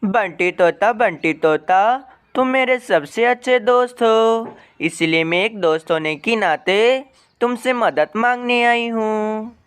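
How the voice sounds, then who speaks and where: A man's voice: high-pitched, slow, a bit bright, clean tone. A man voicing a worried, sorrowful mother bird in a cartoon moral story, lamenting and pleading tenderly. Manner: plaintive, lamenting.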